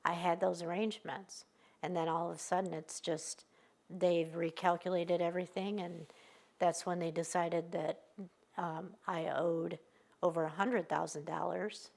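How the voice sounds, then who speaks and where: A woman in her fifties from Michigan speaking earnestly and with determination in a news interview, pausing often as she talks. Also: on the low side, level tone, vocal fry, a little mellow. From Michigan, USA. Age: 50s. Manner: earnest, determined, halting with pauses.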